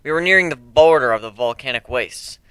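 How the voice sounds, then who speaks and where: A man's voice: medium pitch, expressive, fairly quick, sharp tone, clean tone, dry recording, poor audio. A man narrating a first-person story as a dramatic reading, with a wry, sardonic manner.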